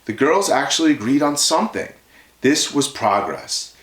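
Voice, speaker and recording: A man reading a children's storybook aloud as a guest reader, in an expressive teacherly way. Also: a little high, normal speed, echoey room.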